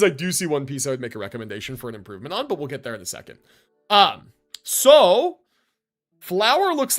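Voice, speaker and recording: A man's voice: sits high, a bit bright. A man streaming live, reviewing game builds in casual commentary and thinking aloud as he goes.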